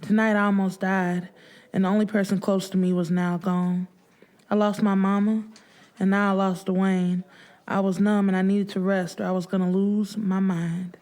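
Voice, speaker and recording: A woman's voice: medium pitch, little pitch movement, moderate pace, a little mellow. A woman narrating first-person fiction as an audiobook, with emotive, sorrowful delivery.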